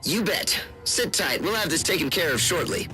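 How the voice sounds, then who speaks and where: Man acting earnest military operations officer, higher-pitched. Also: animated, lightly acted. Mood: focused, reassuring. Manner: brisk, informal radio briefing. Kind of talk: video game voice acting. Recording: loud music and machinery behind voice.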